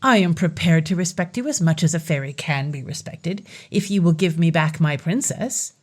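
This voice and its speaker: A woman's voice: low-pitched, lively intonation, moderate pace. A woman reading a young lovesick king, declamatory. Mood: defiant. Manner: pleading, declamatory.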